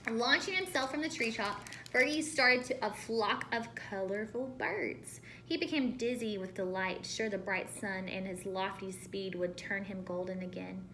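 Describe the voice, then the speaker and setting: A woman reading a storybook aloud to children as a teacher, expressive and pausing to ask questions. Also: mid-range voice, a little hiss or hum.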